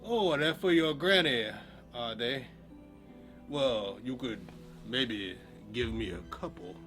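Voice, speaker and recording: A man voicing a sly, hungry big bad wolf in a storybook reading, with a low, sneaky drawl. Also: slow pace, over music. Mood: wheedling.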